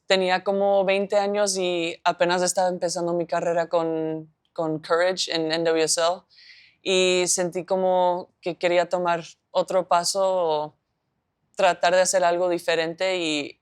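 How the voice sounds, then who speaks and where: A woman's voice: lowish voice, smooth. A woman in her twenties from the United States answering interview questions reflectively, often hedging her words. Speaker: professional footballer.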